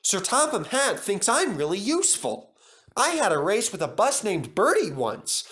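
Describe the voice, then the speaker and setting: A man voices a boastful young storybook engine. He sounds eager and excitable, pitched high with lively swings. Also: moderate pace, exaggerated voice.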